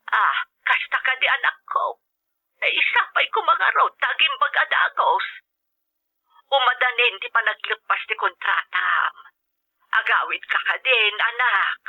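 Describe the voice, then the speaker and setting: A woman's voice: a little high, lively intonation, unhurried, gravelly, exaggerated voice. A woman with an Ilocano accent playing a caring, inquisitive older mother in a radio drama, heard through a telephone filter. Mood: caring, concerned. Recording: muffled telephone line.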